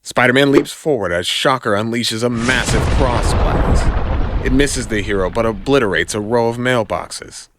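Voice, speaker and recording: A man's voice: mid-range voice, moderate pace, noisy background. A man narrating scripted action in a dramatic audio drama style.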